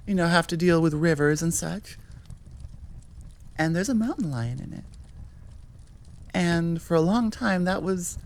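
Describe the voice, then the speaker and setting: American female creator-host, lowish voice, leisurely pace, loose rambling chat style. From Nevada, United States. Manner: informal, self-correcting, chuckling asides. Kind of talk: casual rambling outro chat.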